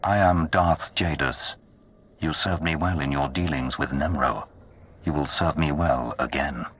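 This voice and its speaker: An actor playing a menacing, imperious Sith lord in a video game, speaking with slow, cold command. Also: male voice, rumbling low, fairly bright tone, slight background noise. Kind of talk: video game cutscene acting.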